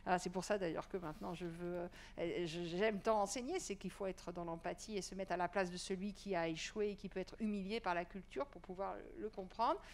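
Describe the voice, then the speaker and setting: A woman's voice: on the low side, a little fast, hardly any pauses. A woman who writes and teaches, answering earnestly at a panel discussion, fluent but with frequent fillers. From Iran.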